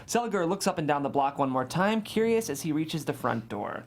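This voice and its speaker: A man's voice: a little high, very animated, fairly quick. A man serves as narrator at a script table read. He reads scene descriptions and stage directions as scripted narration.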